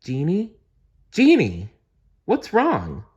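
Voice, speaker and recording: A man voicing a kind, playful young princess in a gentle, earnest storytelling manner for a bedtime storybook. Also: a little high, wildly swooping pitch, extremely slow, husky, lo-fi sound, pitched above the performer's own voice, full character voice. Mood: concerned.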